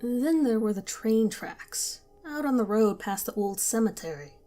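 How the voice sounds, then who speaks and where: A woman's voice: fairly high pitch, expressive, a little slow, warm tone. Woman narrating a horror story. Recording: faint background music.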